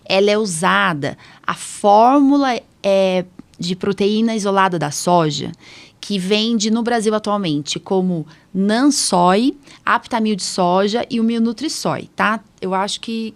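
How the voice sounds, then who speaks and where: A middle-aged woman hosts a podcast in a chatty, informal way, speaking directly to her audience. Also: mid-range voice. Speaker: podcast host, allergist doctor. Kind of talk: casual podcast hosting.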